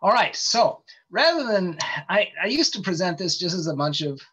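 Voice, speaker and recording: A man's voice: high-pitched, sing-song, moderate pace, fairly bright tone, husky. A man giving an informal online lecture over a video call, explaining things with many ums and restarts. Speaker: presenter giving a webinar talk. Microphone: computer mic on a video call. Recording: dull lo-fi call audio.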